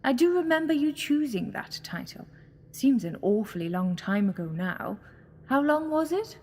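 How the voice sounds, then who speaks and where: Female actor as sinister false goddess, high husky voice, seductive, mocking, theatrical. Audio drama. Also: very animated, moderate pace, a little mellow, full character voice. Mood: flirtatious, mocking. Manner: coaxing, theatrical, purring endearments. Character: sinister alien Time Lady posing as goddess, seductive, mocking.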